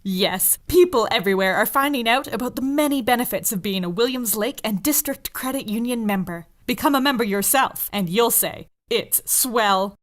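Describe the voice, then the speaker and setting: A woman's voice: on the high side, normal speed, hardly any pauses, natural voice. A woman acting as an old-time radio announcer, reading a sponsor message in a polished, presentational way. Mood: promotional.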